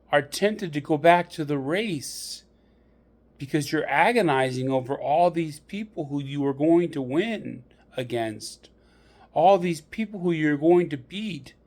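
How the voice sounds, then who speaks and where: A man narrating a guided meditation in a deliberate, measured storytelling manner with pauses. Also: a little high, a little mellow.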